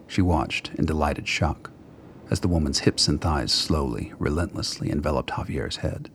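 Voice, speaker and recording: A man narrating a scripted radio drama in a measured, literary storytelling manner. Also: extremely low pitch, creaky, a bit bright, a little hiss or hum.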